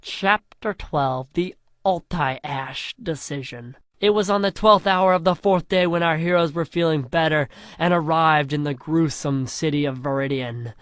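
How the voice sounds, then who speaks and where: A young man reading a crude silly fan story aloud in a deadpan way, slowing down for dramatic chapter titles. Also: on the high side, no echo, studio quality. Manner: deadpan crude comic narration with dramatic slowdowns.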